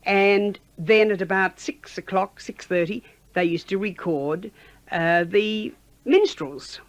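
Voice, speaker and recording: Female, sixties, Australian. Former announcer in interview, chatty and halting, mid-pitched, very dry recording. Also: dry recording, dull audio. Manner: chatty, halting, with frequent "you know". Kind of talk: conversational reminiscence.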